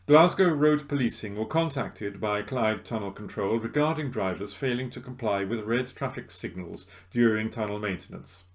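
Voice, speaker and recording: A man reading local news articles aloud as a volunteer reader, recorded at home. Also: medium pitch.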